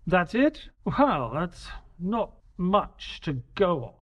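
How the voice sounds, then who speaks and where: A high-voiced, sceptical detective's sidekick. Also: male voice, slow, muffled recording, heavily acted. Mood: doubting. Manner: sceptical, expressive. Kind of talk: scripted audio drama dialogue and narration.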